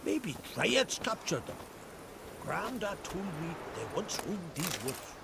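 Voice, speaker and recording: Rustic game villager: superstitious, plain-spoken, slow and very animated, over heavy background noise. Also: male voice, gravelly, heavily acted. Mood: wondering. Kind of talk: video game dialogue acting. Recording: loud outdoor ambience with clicks and rumble.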